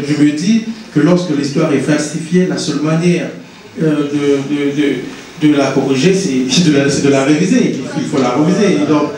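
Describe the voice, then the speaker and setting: A man's voice: a little high, a little mellow, slight background noise. A Congolese-born man giving an earnest public talk as an invited author, often restarting his words. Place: conference hall. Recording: echoey hall, distant mic.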